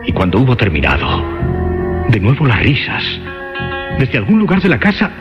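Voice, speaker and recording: A man narrating a horror radio play in a theatrical, suspenseful way, with emphatic phrasing and dramatic pauses. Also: mid-range voice, huge pitch swings, gravelly. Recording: dull lo-fi audio under music. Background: dramatic music.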